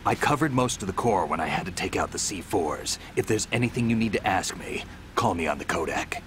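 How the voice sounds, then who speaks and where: An actor playing a gruff, terse veteran soldier who gives orders in a video game cutscene. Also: male voice, low-pitched, animated, a little fast, noisy background. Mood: matter-of-fact. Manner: terse, blunt, no-nonsense.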